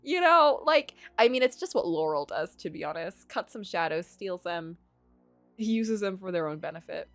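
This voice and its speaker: A woman streamer reading a game story aloud and reacting with playful, animated exclamations. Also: fairly low pitch, normal speed, soft background music. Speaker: streamer playing a visual novel.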